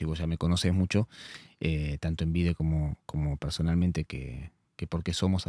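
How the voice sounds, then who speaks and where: Deep-voiced man hosting a podcast. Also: a little mellow, no echo, studio quality. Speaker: podcast host. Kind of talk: conversational interview.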